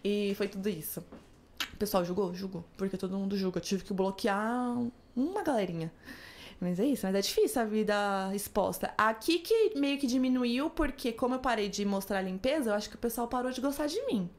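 Fast-talking Brazilian woman, chatty guest. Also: medium pitch, lively intonation, a little mellow. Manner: chatty storytelling, quick asides and quoted voices. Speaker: podcast guest. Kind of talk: casual chat.

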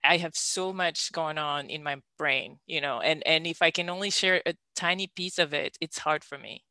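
A woman's voice: sits low, normal speed, pushed and bright, dry recording. A woman from Belgium hosting a podcast and talking candidly about her love life, laughing often and restarting her sentences. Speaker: podcast host. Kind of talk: candid personal conversation.

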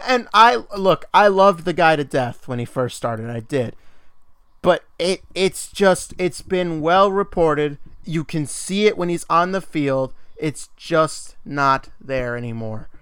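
A man's voice: a little high, lively intonation, slow, a bit bright, smooth, dry recording. A man co-hosting a sports podcast in casual chat, ranting with exasperation and laughing between points. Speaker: podcast co-host.

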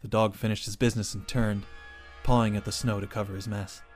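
A man's voice: lowish voice, level tone, brisk, darkish tone, faint music behind. A man reading his own first-person short story as dramatic scripted narration.

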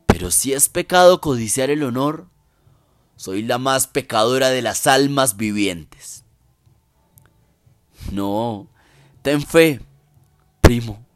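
Warrior king rallying troops , played by a man: solemn Shakespearean declamation, unhurried and weighty, dry recording. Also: mid-range voice, no echo. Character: stirring warrior king rallying his outnumbered army. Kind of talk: dramatic Shakespeare monologue reading in Spanish.

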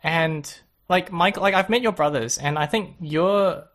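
A speaker with a New Zealand accent chats easily in a casual conversation. The voice is mid-pitched, agreeable, and lightly teasing. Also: a little slow, fairly bright tone. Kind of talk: casual chat.

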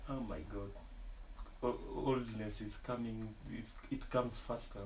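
Halting young man in an echoey room. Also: on the low side. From Kenya. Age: 20s. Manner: halting, restarts often, animated. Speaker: guest. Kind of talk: casual conversation. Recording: echoey room, faint background noise.

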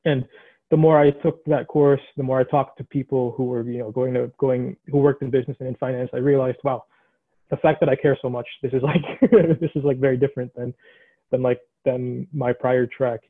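A man's voice: medium pitch, steady tone, a little fast, dry recording. A man in his late twenties telling students about his career path on a video call, thinking aloud with many restarts. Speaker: alumni mentor in consulting. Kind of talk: casual advice on a video call. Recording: tinny phone-like line, dry.

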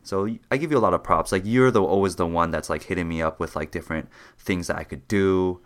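A young man with a slightly raspy voice from a cold, co-hosting a podcast in easygoing chat, laughing often and saying "dude". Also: sits low, fast talker. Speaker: podcast co-host. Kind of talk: casual chat.

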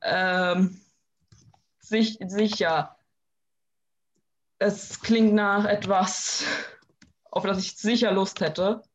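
A teenage woman talking from her apartment in a casual chat, with dry, deadpan humor. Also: medium pitch, drawn-out words, pauses often, a little hiss or hum. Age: teens.

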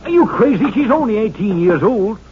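An old, dull-sounding radio recording holds a mid-pitched man with very animated intonation. He plays a folksy rural suspect, evasive and indignant, protesting in classic radio drama fashion. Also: fairly quick, a little hiss or hum. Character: defensive, indignant rural Texan father under suspicion of murder. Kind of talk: 1950s radio crime drama acting.

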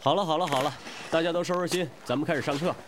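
A man acting a patient, matter-of-fact high school teacher in a modern audio drama, giving instructions. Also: very fast, close to an everyday voice. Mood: instructing. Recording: faint background noise.

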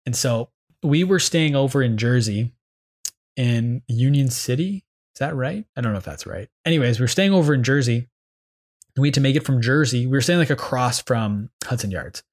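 Young man from Canada, podcast host, rambling eager storyteller, mid-pitched voice. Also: lively intonation, moderate pace. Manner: rambling, enthusiastic storytelling with many fillers. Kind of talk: casual reflective monologue.

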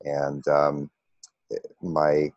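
A middle-aged American man with an extremely low voice and a flat, steady melody. He tells his story conversationally as an interview guest, pausing and hesitating often. The sound is close and dry. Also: no echo, muffled recording. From United States. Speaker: interview guest, meditation coach.

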